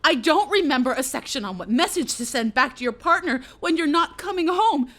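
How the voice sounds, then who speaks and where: A woman's voice: high voice, lively intonation, brisk, fairly bright tone. An actress playing a stranded astronaut and mother, scared, bitter and blunt, in a naturalistic audio drama. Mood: angry, desperate. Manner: blunt, sweary, sardonic.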